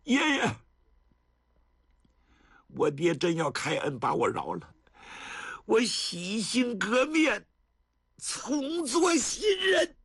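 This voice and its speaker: A man's voice: high-pitched, sing-song, takes it slowly, creaky, long pauses, fairly bright tone, slightly rough, no room sound, clean studio sound, voice pushed up, exaggerated voice. A male storyteller playing a proud young warrior who pleads tearfully in a raised, choked voice. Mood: pleading.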